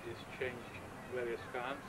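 Middle-aged guy chatting haltingly on a roof, far from the mic, loads of wind noise. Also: mid-range voice, little pitch movement. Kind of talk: halting informal on-location talk. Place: outdoors on a city rooftop. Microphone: camera mic from a distance. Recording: distant camera mic, loud wind and traffic.

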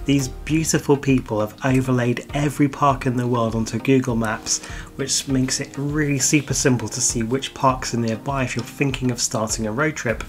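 A man's voice: mid-range voice, fairly even intonation, moderate pace. A man from the UK, a travel vlogger, giving an upbeat, chatty scripted voice-over about theme park road trips. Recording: music bed under the voice.

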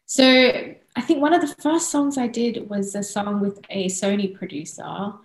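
A woman's voice: medium pitch, unhurried, echoey room, slight background noise, poor audio. A woman in her thirties with an Australian accent, chatting casually and animatedly as a guest on a video call. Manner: animated, frequent laughs and restarts. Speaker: guest singer-songwriter. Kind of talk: casual interview chat. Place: small room indoors. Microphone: headset on video call.

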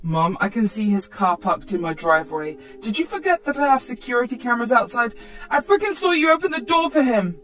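A woman's voice: on the high side, big pitch swings, brisk, fairly bright tone, faint music behind. Anxious, indignant mother, as a woman narrator plays her. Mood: angry, indignant. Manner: emotional, protesting.

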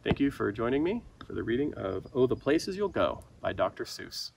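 A man's voice: mid-range voice, very animated, moderate pace, gravelly, darkish tone. A man reading a rhyming children's picture book aloud with theatrical, dramatic emphasis, like a naturalist storyteller. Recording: outdoor recording with background ambience noise.

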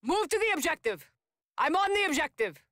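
A tough, confident soldier heroine from a video game, calls out short battle orders. Her voice is high and bright, swinging very widely in pitch. She sounds forceful and protective. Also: big pitch swings, fairly quick, dry recording, studio quality, heavily acted. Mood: urgent, commanding. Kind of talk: video game combat voice lines.

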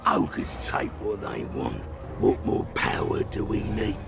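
Huge gluttonous ogre boss, extremely deep, guttural, barking, wildly swinging pitch, game cinematic. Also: male voice, sing-song, slow pace, full character voice. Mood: bullying, impatient. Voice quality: gravelly, guttural. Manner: blunt, barking, domineering. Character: huge gluttonous bullying ogre tyrant, male. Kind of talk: video game cinematic character acting. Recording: loud music under the voice.

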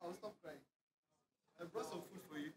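A very high-voiced man, played by an actor, as a jail visitor's emotional brother. He pleads softly and turns frantic. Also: animated, a little fast, poor audio. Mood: tearful. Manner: soft pleading, then frantic shouting. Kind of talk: comedy skit acting.

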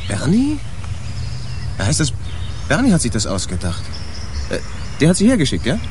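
An actor playing a bossy, self-assured man in a German radio crime drama, with a brisk, sarcastic, colloquial manner. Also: a little high, huge pitch swings, darkish tone, slight background noise. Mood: wary, mocking. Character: Tom, bossy, self-assured male repair shop owner.

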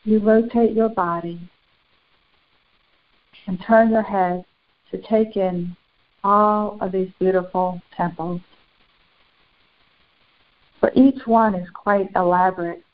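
A woman leading a guided spiritual meditation in solemn, formal, deliberate phrases with long pauses. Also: mid-range voice. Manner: solemn, formal, ceremonial wording. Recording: muffled, phone-like narrow band.

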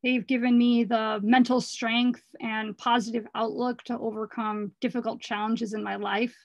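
Hoarse woman presenting her art. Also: a little high, little pitch movement, normal speed, dry recording, highs cut off. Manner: earnest, rambling with restarts. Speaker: artist presenting her work. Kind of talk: casual talk over slides.

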